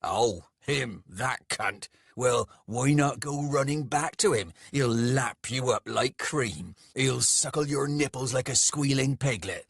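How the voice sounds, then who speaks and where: A man's voice: medium pitch, slow pace, vocal fry, hardly any pauses, breathy edge, no echo, clean studio sound, voice pushed up, exaggerated voice. A man voicing a big, hot-tempered, jealous soldier in an audiobook, with a coarse, sneering manner and bellowed delivery. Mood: furious, jeering. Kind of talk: dramatised audiobook dialogue.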